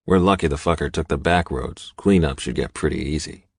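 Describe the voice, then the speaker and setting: A man's voice: extremely low pitch, a little fast, creaky, breathy edge, no room sound, studio quality. A man reading a scripted first-person audiobook novel as its narrator.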